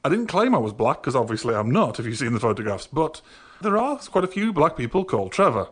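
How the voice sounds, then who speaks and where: A man's voice: mid-range voice, sing-song, quick pace, a bit bright, breathy edge. A man with a Northern English accent, co-hosting a podcast and telling comic anecdotes in a wry, sarcastic way. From northern England. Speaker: podcast co-host. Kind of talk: casual comic anecdote chat.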